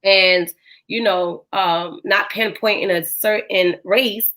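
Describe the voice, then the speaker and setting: A woman with a hoarse voice, hosting a podcast in a casual chat full of fillers. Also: medium pitch, fairly even intonation, slow, fairly bright tone. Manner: chatty, filler-heavy. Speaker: podcast host.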